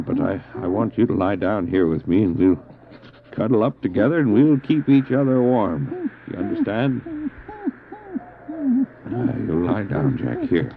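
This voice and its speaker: Male actor for devout, resolute doctor lost with his dog team; theatrical delivery with wide swings and gravelly edge, lo-fi cassette radio drama. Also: on the low side, slight background noise. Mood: tender. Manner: earnest, theatrical, halting when speaking in character. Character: stranded doctor and dog sledder, anguished but resolute, devout. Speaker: narrator and lead actor. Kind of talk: dramatized first-person adventure narration, audio drama. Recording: dull, lo-fi old cassette drama with music.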